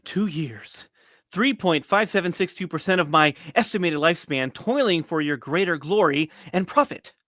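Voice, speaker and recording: A man's voice: a little high, expressive, normal speed, pushed and bright, no room sound, studio quality. A man voicing a bitter, grandiose movie mad inventor with a wounded ego, in a theatrical, pompous, wordy manner. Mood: bitter, envious.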